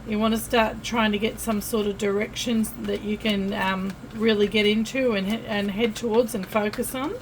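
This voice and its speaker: An Australian woman giving a casual tarot reading to camera, chatty and friendly, often pausing with fillers and restarting. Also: medium pitch, steady tone, slight background noise, rough recording. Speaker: tarot reader.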